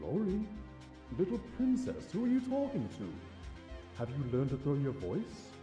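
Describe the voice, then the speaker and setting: A man's voice: fairly high pitch, huge pitch swings, normal speed, music in the background. A man playing a vain, obsessive washed-up stage actor stallion, a theatrical and grandiose villain in a cartoon audio drama. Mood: menacing coaxing.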